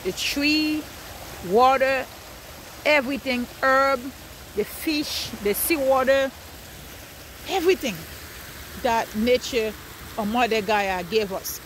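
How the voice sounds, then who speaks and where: A woman's voice: high-pitched, takes it slowly, long pauses, a little hiss or hum. A woman from Haiti with a Haitian accent giving an earnest spiritual talk outdoors by a river at night, repeating her phrases as she preaches.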